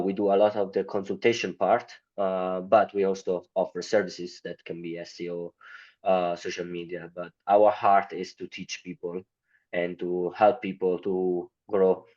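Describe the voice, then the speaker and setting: Deep-voiced Italian man, halting English. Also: a little mellow, lo-fi sound. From Italy. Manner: hesitant, many fillers, non-native grammar. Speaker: guest. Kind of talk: casual interview answers.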